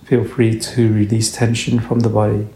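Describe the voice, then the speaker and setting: A man with a low, mellow voice guides a meditation in gentle, soothing phrases. His delivery is very slow and unhurried. The recording has a noticeable roomy echo around the voice. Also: completely flat delivery. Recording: roomy echo, faint background noise.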